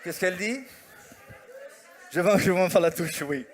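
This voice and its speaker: Male musical comedian, high voice, unhurried delivery, live stage over loud guitar, French sprinkled with Italian. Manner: sings and chats with the audience, mixing French and Italian. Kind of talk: stand-up comedy with sung parody songs. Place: stage before a live audience. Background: guitar accompaniment.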